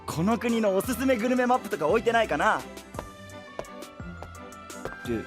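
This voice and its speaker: A man voicing a cheerful, carefree young traveler with a boisterous, naively confident manner in an animated audio drama. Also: high voice, normal speed, faint music behind. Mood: upbeat, carefree. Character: cheerful, food-loving, carefree young male traveling companion. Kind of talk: animated Japanese voice drama acting.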